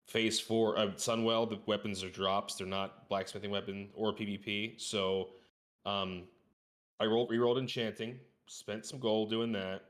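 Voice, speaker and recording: A man's voice: on the low side, fairly bright tone. A man talking casually as a guest on a gaming podcast, using informal gamer slang.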